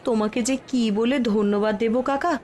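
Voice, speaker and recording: A woman's voice: on the high side, fairly quick, darkish tone, some chatter behind, a little hiss or hum, natural voice. A woman playing a poor, humble young village mother in a cartoon story, speaking earnestly and respectfully. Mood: grateful.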